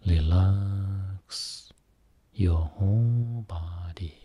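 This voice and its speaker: Man, meditation teacher, deep husky voice, soothing short phrases, long pauses. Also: dull audio. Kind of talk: guided meditation.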